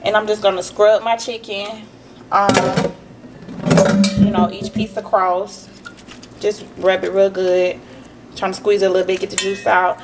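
A woman hosting a relaxed cooking tutorial in her home kitchen, chatting to her viewers with plenty of ums as she works. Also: medium pitch, measured pace, pauses often, heavy background noise. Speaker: cooking vlogger.

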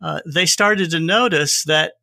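Bright-voiced man chatting as guest. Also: a little high, unhurried, vocal fry, no room sound, studio quality. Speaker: podcast guest. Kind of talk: casual podcast conversation.

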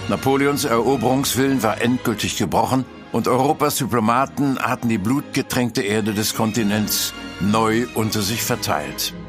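A man's voice: on the low side, moderate pace, over music, close to an everyday voice. A man giving formal, scripted dramatic narration as the storyteller of a German audio drama.

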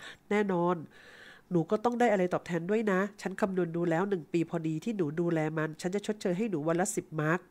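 A woman voicing a kindly, patient old rich man who gently gives advice in an audiobook reading. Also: fairly low pitch, fast talker, lightly acted. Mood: earnest. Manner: gentle, earnest advice-giving.

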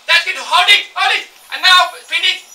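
A man's voice: very high voice, moderate pace, pushed and bright, a little hiss or hum, exaggerated voice. A man playing a boastful, washed-up Finnish drinking coach on a theatre stage, with a Finnish accent, barking drills and rambling. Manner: barking drill commands, then rambling chatty asides. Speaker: stage actor in a comic monologue. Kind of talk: live theatre monologue. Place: dark theatre stage with an audience. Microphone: far room mic. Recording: roomy hall sound, from far off.

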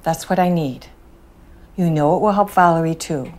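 A woman playing a strict, exasperated mother of teenagers who scolds dramatically, her voice climbing high when she is upset. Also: moderate pace, darkish tone, lightly acted. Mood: scheming. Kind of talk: comedy sketch acting.

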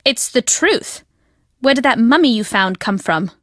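A woman playing a secretive, fervent guardian of her family's destiny in a video game, speaking solemnly and deliberately. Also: a little high, animated, fairly bright tone, no room sound, clean studio sound. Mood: guarded, firm.

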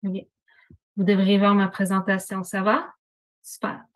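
A woman's voice: mid-range voice, completely flat delivery, unhurried. Franco-Ontarian woman presenting on a video call. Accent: Franco-Ontarian French. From Northern Ontario, Canada. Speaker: student services manager. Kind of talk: presenting to a committee.

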